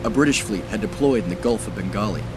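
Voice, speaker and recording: A man plays a resolute American naval commander in a war game. He is world-weary and narrates his own campaign like a scripted memoir, over loud music. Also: on the low side, lively intonation, fast, natural voice. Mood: grave, reflective.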